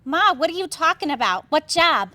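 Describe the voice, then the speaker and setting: A woman's voice: high-pitched, very animated, moderate pace, bright, forceful tone, full character voice. A woman acting a blunt, sceptical working-class housewife in a staged comedy reading. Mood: baffled. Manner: blunt, dismissive, incredulous.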